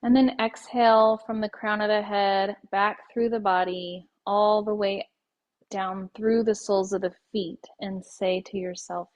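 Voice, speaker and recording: A woman leading a guided yoga nidra meditation in a soothing, unhurried way, letting her phrases end softly. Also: medium pitch, fairly even intonation, lo-fi sound.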